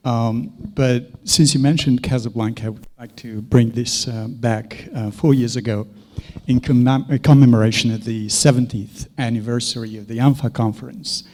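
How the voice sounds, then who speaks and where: A man's voice: medium pitch, fairly even intonation, moderate pace, darkish tone. A man giving an academic conference talk, hesitant with frequent fillers. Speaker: academic speaker. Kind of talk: conference lecture. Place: seminar hall.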